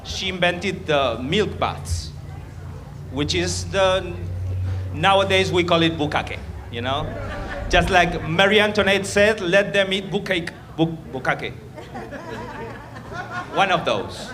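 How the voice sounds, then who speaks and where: A man with an Argentinian accent works a stand-up set in a comedy club, his fairly high voice swinging widely up and down. His jokes ramble and stay crude and self-mocking. A loud crowd reacts all around him. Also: expressive, takes it slowly, long pauses.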